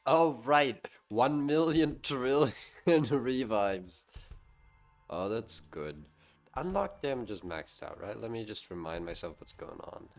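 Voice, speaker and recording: A man streaming a game live, chatting casually to his viewers and musing aloud to himself. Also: on the low side. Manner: talks to chat and muses aloud to himself.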